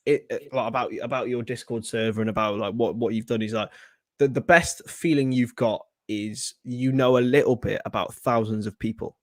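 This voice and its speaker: A man's voice: mid-range voice, no room sound. Rambling English male co-host, dry sound. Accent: non-Scouse English. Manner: rambling, many restarts, laughs easily. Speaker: podcast co-host. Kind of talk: casual podcast chat.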